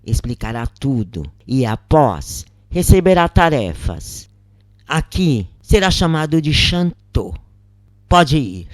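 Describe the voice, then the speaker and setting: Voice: medium pitch, sing-song, slow pace, dry recording, clean studio sound. A narrator voicing a vain, regal male chief of a netherworld city, pompous and imperious in an audio story.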